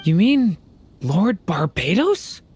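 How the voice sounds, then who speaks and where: A woman voicing a calm, polite young traveler hero in a video game, easygoing and reserved. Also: lowish voice, slow pace, husky, heavily acted. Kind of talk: video game character acting.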